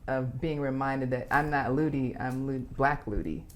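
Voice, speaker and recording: A woman with an unusually deep voice talks openly as a guest on an interview show, often breaking into laughter. A faint hiss sits under the recording. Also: moderate pace. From South Florida. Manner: laughs easily, frank and animated. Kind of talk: casual interview chat.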